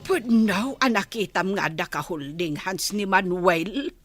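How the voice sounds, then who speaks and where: A woman with an Ilocano accent playing an excitable, gossipy older village auntie in a comedy radio drama. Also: mid-range voice, a little slow, faint music behind, close to an everyday voice. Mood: scandalized.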